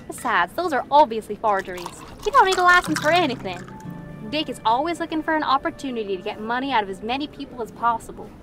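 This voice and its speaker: A woman's voice: high-pitched, animated, fast talker, over music. A woman playing a no-nonsense, protective, wry townswoman in a western audio drama, blunt and folksy. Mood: scolding.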